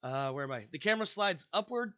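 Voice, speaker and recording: A man's voice: sits high, big pitch swings, fast, bright, forceful tone. A man with a stuffy, congested voice, hosting and narrating a tabletop game as game master in a rambling, casual way. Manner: rambling, casual, with frequent restarts. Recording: dull, lo-fi voice chat audio.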